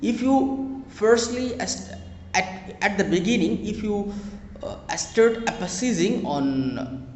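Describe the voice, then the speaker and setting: A young Bangladeshi man, a native Bengali speaker, gives earnest advice to English learners, repeating phrases and pausing with fillers. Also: high voice, lively intonation, slight background noise. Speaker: spoken English teacher. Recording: echoey room with long reverb.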